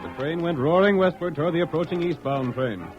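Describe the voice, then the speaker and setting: A man's voice: on the high side, a little fast, natural voice. A man narrating a western serial as a dramatic old-time radio announcer. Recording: muffled old radio broadcast, music behind.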